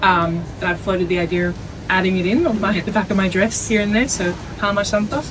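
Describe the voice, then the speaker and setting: A woman's voice: medium pitch, fairly even intonation, brisk, background noise. A young adult woman with an Australian accent, originally from Iceland, chatting casually and informally as an athlete being interviewed. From Iceland, raised in Australia from age three. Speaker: netball player being interviewed.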